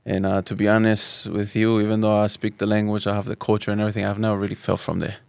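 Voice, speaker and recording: A man's voice: lowish voice, monotone, fast, gravelly, darkish tone, clean tone, dry recording. Resonant-voiced man in his thirties from Spain. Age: 30s. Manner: thoughtful, with frequent restarts and Arabic phrases. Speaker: guest. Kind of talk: relaxed personal storytelling.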